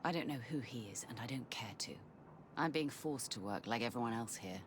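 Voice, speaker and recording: A woman's voice: extremely low pitch, expressive, a little fast, a little hiss or hum. An actress playing a guarded, anxious middle-aged mother, wary and pleading, in a video game. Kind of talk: video game cutscene acting.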